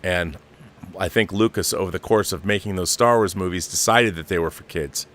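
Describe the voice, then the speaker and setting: A man's voice: low voice, normal speed, a bit bright. A middle-aged or older man hosting a live show, giving opinionated, casual film commentary and repeating phrases for emphasis.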